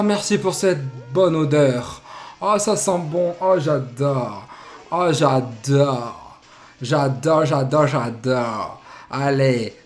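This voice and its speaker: A young man with a cold giving playful, jokey commentary while playing a video game at his desk. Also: mid-range voice. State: has a cold. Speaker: Let's Play host. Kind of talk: casual gameplay commentary. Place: indoor room at desk.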